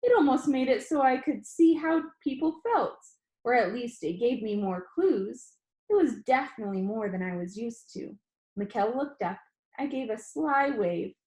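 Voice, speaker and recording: A woman's voice: a little high, lively intonation, moderate pace, darkish tone. Woman teacher reading aloud in an echoey room. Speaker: teacher reading a novel aloud to an online class. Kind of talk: classroom read-aloud, first-person storytelling. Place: indoor room, online class call.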